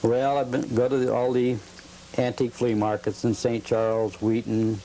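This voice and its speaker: Relaxed, halting man interviewed at home. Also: mid-range voice, poor audio. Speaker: interview guest, collector. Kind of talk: casual interview answers.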